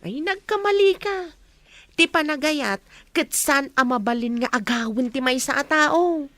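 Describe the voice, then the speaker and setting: A woman's voice: high-pitched, measured pace, a bit bright, dry recording. A woman playing a caring, anxious mother in an Ilocano radio drama. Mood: reproachful. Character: caring mother anxious for her married daughter.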